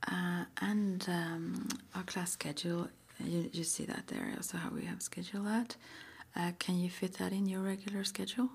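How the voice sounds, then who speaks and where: A woman whispering softly and breathily as an interviewer in an ASMR roleplay, murmuring little acknowledgements between her questions. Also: fairly low pitch, little pitch movement, moderate pace, creaky, breathy edge. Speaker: interviewer at a witch school.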